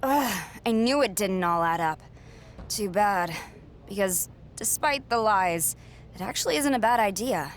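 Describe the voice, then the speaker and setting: A woman's voice: medium pitch, expressive, measured pace, a little hiss or hum. An actress playing a confident, sassy young woman wrestler in a video game cutscene, cocky and blunt. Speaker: wrestler character.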